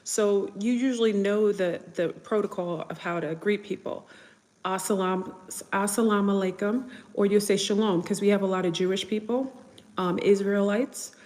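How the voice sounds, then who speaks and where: A woman's voice: medium pitch, steady tone, moderate pace, darkish tone. Chatty New woman, reverberant room. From New York. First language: French. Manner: rambling, chatty, answers viewer comments. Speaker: livestream host. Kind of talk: casual livestream chat.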